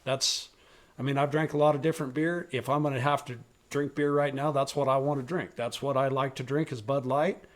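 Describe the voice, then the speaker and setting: A man hosting a podcast, talking bluntly and forcefully, swearing freely and raising his voice to make his point. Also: mid-range voice, steady tone, fairly quick. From rural western United States, raised on a ranch. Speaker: podcast host. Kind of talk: opinionated political talk.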